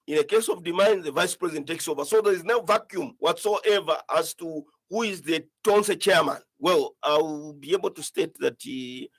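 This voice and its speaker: A Zambian-accented man answers interview questions as a politician, explaining his points emphatically. His voice sits a little high for a man, with a gravelly creak and a husky edge. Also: moderate pace, hardly any pauses, no echo, rough recording. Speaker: political party leader being interviewed.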